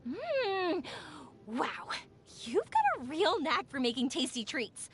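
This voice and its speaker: Casting: bubbly young female game character, very high voice, swooping animated delivery, playful storyteller, excitable and cheerful. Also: slow, slight background noise, exaggerated voice. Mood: bubbly, chatty. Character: cheerful, excitable young woman firework maker. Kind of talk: video game character voice acting.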